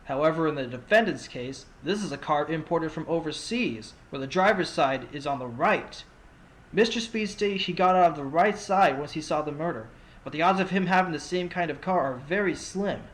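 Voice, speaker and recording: A man's voice: a little high, lively intonation, moderate pace, natural voice, low-quality recording. A man playing an earnest, determined young defense lawyer in an amateur courtroom audio drama, with music behind him. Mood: forceful, accusing.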